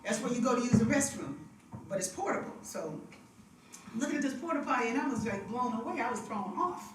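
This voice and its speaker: A woman's voice: on the high side, lively intonation, slight background noise. A woman hosting a live devotional, chatting and telling stories with lots of laughter, using a stage mic in a music room. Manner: chatty, laughing, drawn-out storytelling. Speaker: devotional host and singer. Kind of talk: casual spiritual talk with storytelling. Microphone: stage microphone with monitor feedback. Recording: echoey room from far off.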